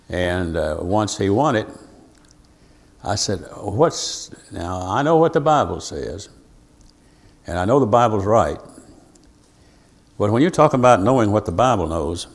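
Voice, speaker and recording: Older male preacher, lowish voice, informal and meandering Bible teaching with frequent asides. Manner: rambling, folksy, halting, full of asides and self-told anecdotes. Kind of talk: informal sermon to a congregation.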